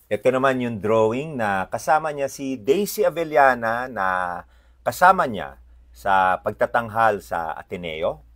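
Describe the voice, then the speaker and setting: A man with a Filipino accent informally presenting a book's illustrations, often pausing mid-sentence to find his words. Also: medium pitch, animated, a bit bright. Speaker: read-aloud presenter. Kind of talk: informal commentary showing book illustrations.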